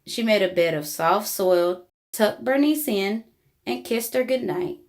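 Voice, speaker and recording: A woman reading a children's book aloud in an expressive, deliberate storytelling style. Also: mid-range voice. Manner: animated, deliberate storybook delivery. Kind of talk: expressive read-aloud narration for young children.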